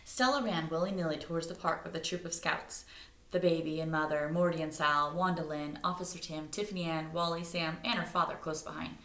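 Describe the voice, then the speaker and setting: A woman's voice: low-pitched, a little fast, a bit bright, a little hiss or hum. A woman reading a children's story aloud expressively as a storyteller, recorded in an echoey room. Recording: roomy, echoey indoor sound.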